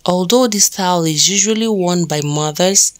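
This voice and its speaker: Low-pitched woman reading scripted narration. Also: moderate pace. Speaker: fashion narrator.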